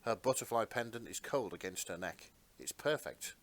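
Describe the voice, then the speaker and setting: A man narrating fan fiction as a podcast host, with expressive, sentimental storytelling. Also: on the low side, normal speed.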